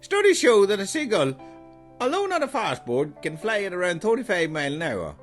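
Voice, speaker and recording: Man voicing a high, pedantic know-it-all. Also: huge pitch swings, a little fast, faint music behind. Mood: smug. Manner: pedantic, mock-scholarly. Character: pedantic know-it-all castaway reciting statistics. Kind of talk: scripted comedy podcast acting.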